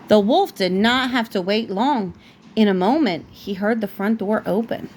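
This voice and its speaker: A woman reading a children's bedtime story aloud like a parent, with expressive storytelling. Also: medium pitch, moderate pace, slight background noise.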